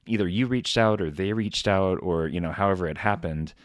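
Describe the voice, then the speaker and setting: A low-pitched man hosts a relaxed interview, asking rambling questions and nodding along with quick agreement. The sound is dry and close. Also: no echo. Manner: affable, agreeable, lots of backchannel. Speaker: podcast host. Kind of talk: casual interview chat.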